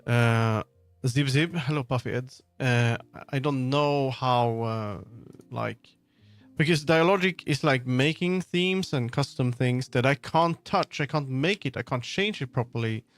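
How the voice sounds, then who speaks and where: A man chatting casually on a livestream as he works, often halting and muttering to himself. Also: mid-range voice, no echo. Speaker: livestreamer making a game. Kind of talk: casual livestream chat while working. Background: background music.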